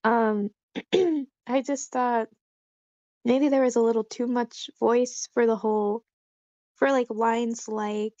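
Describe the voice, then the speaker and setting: A woman's voice: a little high, level tone, takes it slowly, darkish tone, dry recording, lo-fi sound. A woman giving casual, tentative, self-deprecating feedback in an online group discussion. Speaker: table read participant giving feedback. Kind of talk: casual spoken critique.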